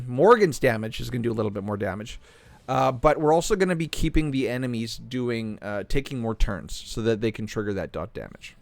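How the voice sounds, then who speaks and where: Guy narrating his mobile game run, relaxed, keeps clearing his throat, voice going a bit. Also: mid-range voice, fairly quick, no echo. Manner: relaxed, thinking aloud while playing. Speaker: gaming channel host. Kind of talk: casual gameplay commentary.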